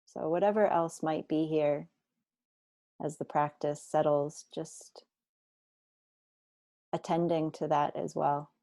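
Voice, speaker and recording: A woman guiding a body scan meditation, speaking slowly and deliberately with gentle instructions and long pauses. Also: sits low, steady tone, creaky, dry recording. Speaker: meditation teacher.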